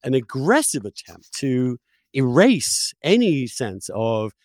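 A man's voice: medium pitch, sing-song, slow, a little mellow, no echo, studio quality. A man hosting a conversational interview, putting opinions and questions in an emphatic, probing way. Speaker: interviewer, host.

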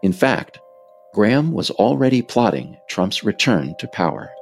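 A low-pitched American man narrates from a script with a creaky edge. His intonation moves widely as he lays out each point in a measured, emphatic way, with faint music underneath. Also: big pitch swings. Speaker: author narrating own work.

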